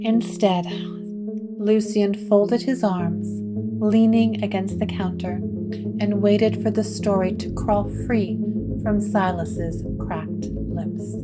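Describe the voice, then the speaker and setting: A woman narrating a scripted gothic horror story in a measured, dramatic storytelling manner. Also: mid-range voice, expressive, loud music behind the voice.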